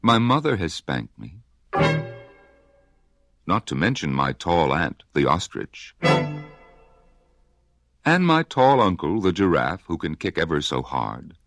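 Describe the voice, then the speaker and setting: A man's voice: low voice, big pitch swings, slow, pauses often, loud music behind the voice, full character voice. A man voicing a polite, eager young elephant calf in a storybook reading. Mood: polite pleading.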